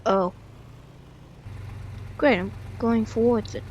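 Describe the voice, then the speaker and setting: A woman giving excitable live gameplay commentary, with frequent short repeated exclamations. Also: a little high, takes it slowly, dull audio, a little hiss or hum. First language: Thai. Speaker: gamer playing a storm-chasing game.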